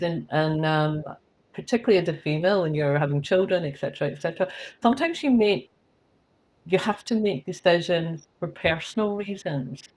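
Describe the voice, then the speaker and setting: A middle-aged woman with a Scottish accent, talking candidly and chattily as a guest on an interview podcast. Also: lowish voice. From Scotland. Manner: candid, chatty, laughs at herself. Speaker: guest, company CEO.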